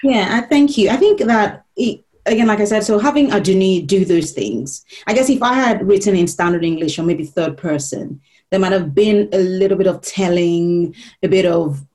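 A woman from Nigeria whose first language is Yoruba, an author explaining her work in a thoughtful, pausing way. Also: on the low side, darkish tone. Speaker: author being interviewed. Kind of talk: reflective conversation.

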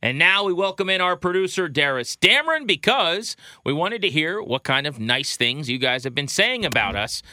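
A man's voice: mid-range voice, pushed and bright, no room sound. A man hosting a sports podcast, talking in casual banter. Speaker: podcast host.

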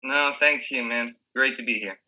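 A man whose first language is Spanish, a guest chatting casually over a call from home, relaxed and restarting his words. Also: medium pitch, normal speed, rough recording. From Rio de la Plata region. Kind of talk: casual remote interview chat. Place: home in Spain.

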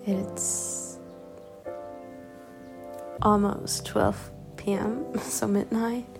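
A young woman with a German accent, German her first language, musing softly and partly whispering while lying in bed at night for her vlog. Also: medium pitch, steady tone, extremely slow, gravelly, music in the background, poor audio. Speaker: YouTuber. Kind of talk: late-night personal vlog.

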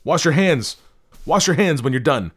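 A man's voice: deep voice, animated, fairly quick. A man voicing a domineering, germ-phobic husband who speaks angrily and hits his syllables hard in an audiobook story. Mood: panicked, disgusted. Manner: shouting, hitting syllables hard.

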